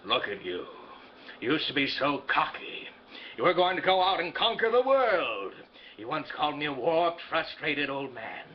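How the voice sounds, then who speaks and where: Brief: man doing a contemptuous old banker, slow sneering drawl, slightly muffled take. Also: a little high, very animated. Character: gravelly cold old male banker, sneering and cruel.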